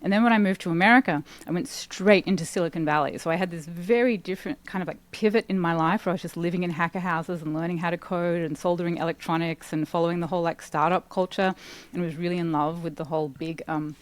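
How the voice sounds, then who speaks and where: An Australian woman in her forties, a guest talking through an interview in an animated, chatty way full of "like" fillers. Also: fairly low pitch, quick pace. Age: 40s. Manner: animated, talkative, with frequent "like" fillers. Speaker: guest, sustainability expert. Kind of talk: casual podcast interview.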